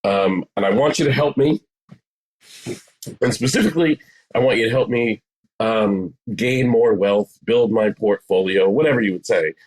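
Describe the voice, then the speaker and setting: A man's voice: on the low side, moderate pace, darkish tone, husky, poor audio. A man in his forties explaining things conversationally as an interview guest, emphatic and repeating phrases for stress. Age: 40s. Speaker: psychotherapist, podcast guest.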